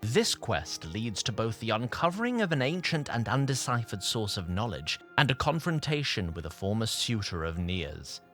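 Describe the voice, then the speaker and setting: Lively, wry male storyteller over music. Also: fairly low pitch, moderate pace, hardly any pauses. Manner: storybook formality with wry asides. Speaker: narrator. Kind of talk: scripted dramatic recap narration.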